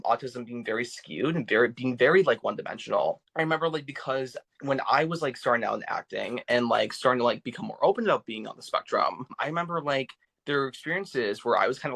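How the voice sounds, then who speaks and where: A young man talks casually as a podcast guest, his medium-pitched voice halting through many fillers and restarts. He sounds thoughtful and earnest about his experiences. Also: fairly bright tone. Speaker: podcast guest, actor and vocal coach. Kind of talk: casual interview talk.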